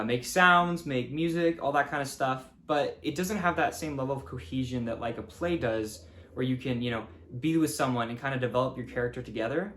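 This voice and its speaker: A teenage male student talks casually, as if answering interview questions. His voice sits at a middle pitch. The room adds noticeable echo, with a faint noise underneath. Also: fairly bright tone. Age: teens. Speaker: student sound and music creator.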